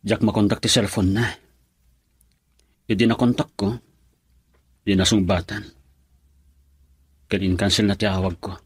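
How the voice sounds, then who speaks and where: Husky, low-voiced lovelorn young man. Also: steady tone, a little slow, long pauses, dry recording. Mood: dejected. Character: lovelorn young man whose sweetheart left him. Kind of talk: Ilocano radio drama acting.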